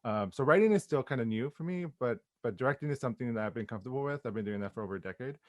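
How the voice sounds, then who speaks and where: A man's voice: mid-range voice, animated, very fast, dry recording. A man chatting casually and effusively in an interview as a playwright and director, with informal slangy phrasing.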